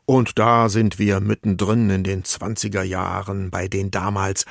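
A man's voice: low-pitched, little pitch movement, a little slow, creaky, dry recording. A man presenting scripted narration as a radio host.

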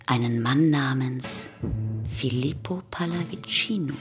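Brief: low, slow, brooding female storyteller for a historical radio play, hushed, over music. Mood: solemn. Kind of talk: dramatic literary radio-play narration.

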